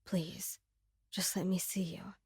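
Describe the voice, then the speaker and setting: Woman voicing a calm, earnest young mafia peacemaker. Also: fairly low pitch, slow, husky, no room sound, studio quality, voice pushed down, heavily acted. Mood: soft, pleading. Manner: calm but intense, pleading.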